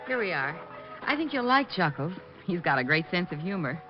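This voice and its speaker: An earnest, friendly young carnival woman in an old radio drama. Her delivery is lively and varied, on a muffled vintage recording. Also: fairly low pitch, fairly quick, loud music behind the voice. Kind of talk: old-time radio acting.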